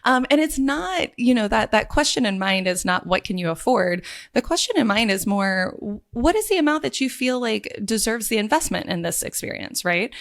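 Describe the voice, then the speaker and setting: A woman's voice: fairly high pitch, very animated, normal speed, creaky, hardly any pauses. A woman who owns a luxury travel agency, a podcast guest chatting upbeat and telling client stories. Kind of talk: podcast interview.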